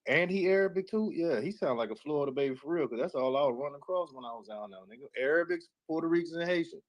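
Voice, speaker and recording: A man's voice: a little high, expressive. A man joining a casual livestream chat, talking in a loose, filler-heavy way. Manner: fast, filler-heavy talk, keeps saying "you know". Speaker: livestream guest.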